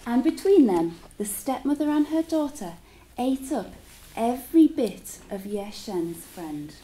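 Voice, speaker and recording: A woman's voice: a little high, very animated, unhurried, mellow, low-quality recording. A woman teacher reading a story aloud to children in a dramatic, engaging way. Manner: dramatic, engaging listeners with questions.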